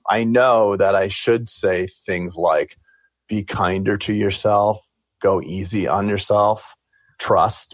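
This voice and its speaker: A man's voice: deep voice, no room sound. A man in his forties, a talkative dentist guest chatting in a casual interview, reflective and joking as he answers. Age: 40s.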